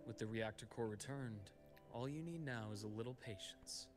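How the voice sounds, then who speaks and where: An actor playing a calm, caring male doctor with a measured, reassuring way of speaking. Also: mid-range voice, close to an everyday voice. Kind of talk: game voice acting. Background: game music.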